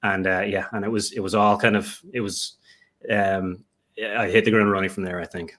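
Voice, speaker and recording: A man's voice: fairly low pitch, level tone, moderate pace, fairly bright tone. A young Irish man answering interview questions at length, rambling with many fillers and restarts. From Ireland. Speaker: guest, Lego investor.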